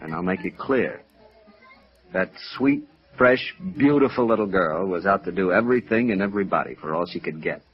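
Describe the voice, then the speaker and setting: Bitter doctor, American, weary and brusque, lowish pitch, muffled old radio drama sound. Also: male voice, expressive, moderate pace, breathy edge, soft background music. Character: curt, weary, bitter male doctor, jilted. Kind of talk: 1950s radio drama acting. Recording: dull lo-fi old radio recording.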